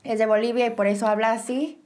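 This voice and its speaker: A woman's voice: on the high side, fairly even intonation, fast talker, a little mellow. A young woman with a Mexican Spanish accent, hosting a podcast in casual, bubbly chat and laughing often. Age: young adult. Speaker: podcast host.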